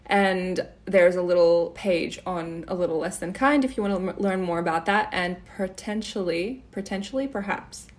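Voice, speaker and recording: A woman's voice: on the low side, normal speed, hardly any pauses. A woman with a North American accent hosting a podcast interview in a casual, conversational style.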